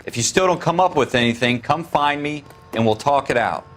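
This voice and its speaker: A man's voice: on the high side, brisk, fairly bright tone, soft background music, natural voice. A man playing a jaded patrol cop in a detective game, complaining in an informal way. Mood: grumbling. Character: grumbling, jaded male uniformed patrol cop.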